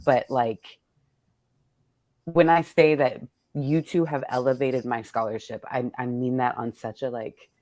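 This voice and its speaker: A woman's voice: extremely low pitch, steady tone, measured pace, warm tone, no room sound. An American woman hosting a casual conversation among poets, talking in an effusive, slangy way with plenty of swearing. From born in Texas, United States. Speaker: host of a poets' table reading.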